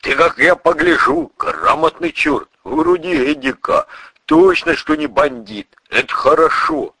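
A man voicing a burly bearded priest with a criminal past, folksy, gruff and wry, in an audiobook story. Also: extremely high pitch, huge pitch swings, unhurried, sharp tone, a little hiss or hum, pitched above the performer's own voice, full character voice, low-quality recording. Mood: folksy, musing. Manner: colloquial, slangy, teasing.

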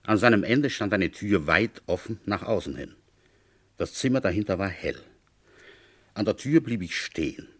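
An actor playing a seasoned middle-aged village police commissioner who narrates and questions with deliberate, dramatic phrasing in a radio drama. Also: male voice, fairly low pitch, animated. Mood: brooding narration. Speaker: detective narrator.

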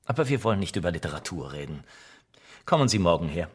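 Casting: cynical aristocratic gentleman for radio drama, urbane and aphoristic, low-pitched with highly varied intonation. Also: big pitch swings, quick pace, husky, full character voice. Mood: urbane, dismissive. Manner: urbane, ironic, aphoristic, theatrical phrasing. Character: worldly, cynical aristocratic older friend, witty and languid. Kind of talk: German radio drama acting.

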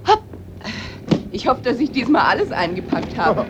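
A woman playing a brisk, caring wife in a German radio drama. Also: on the high side, very animated, highs cut off, heavy background noise. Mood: bustling.

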